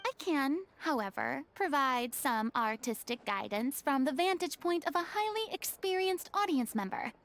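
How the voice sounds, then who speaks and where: A woman's voice: high voice, expressive, normal speed, hardly any pauses. An actress voicing a theatrical former goddess in a game cutscene, lofty and ornate in her speech. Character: grand theatrical former goddess, young woman. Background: game music.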